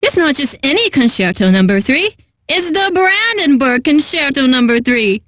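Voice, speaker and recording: Casting: eccentric female classical station DJ for a game, high voice, dry close studio sound, pompous and theatrical delivery. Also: big pitch swings, normal speed, fairly bright tone, no echo. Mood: poised, refined. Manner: pompous, theatrical, savoring each phrase. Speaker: classical radio DJ. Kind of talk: scripted radio DJ patter for a video game.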